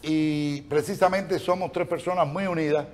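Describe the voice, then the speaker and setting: A middle-aged man hosts a talk show in a joking, expressive way, full of quick exclamations. His voice sits fairly high for a man, with faint noise behind him.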